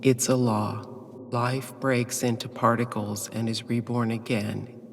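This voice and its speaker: An actor playing a rigid, self-assured emissary of the powers in a game, coldly logical, speaking in measured, imperious declarations. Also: male voice, mid-range voice, flat delivery, gravelly. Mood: coldly certain. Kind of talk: theatrical scripted game dialogue. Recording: long cavernous hall reverb.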